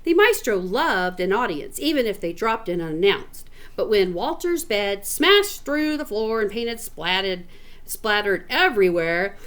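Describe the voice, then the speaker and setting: Middle-aged female teacher for children's read-aloud: expressive melody, slow delivery, informal explanatory asides. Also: mid-range voice. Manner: animated, chatty, explains words to children.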